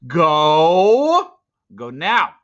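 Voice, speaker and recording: A man's voice: on the high side, wildly swooping pitch, extremely slow, a bit bright, dull audio, pitched above the performer's own voice, full character voice. A man voicing an earnest, loyal young hunter boy in a storybook, with an urgent, pleading manner. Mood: urgent commanding. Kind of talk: storybook character acting.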